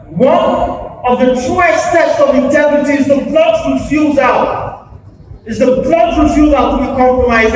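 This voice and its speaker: A man giving a theatrical spoken-word reading in a deliberate, emphatic, oratorical manner. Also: very high-pitched, noisy background. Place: live stage at an awards event. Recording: echoey hall, distant mic, music behind. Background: music.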